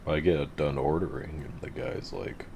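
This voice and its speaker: A man with a very deep voice giving laid-back, rambling, profane commentary over his game. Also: steady tone, normal speed, creaky, background noise. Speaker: gamer narrating his play. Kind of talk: casual gameplay commentary.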